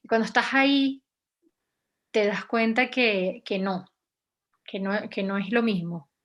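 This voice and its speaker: A young woman from Venezuela speaks as a journalist guest in an interview. Her voice sits at an ordinary middle pitch, and she pauses and restarts often as she thinks her answers through. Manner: hesitant, many restarts and fillers, reflective.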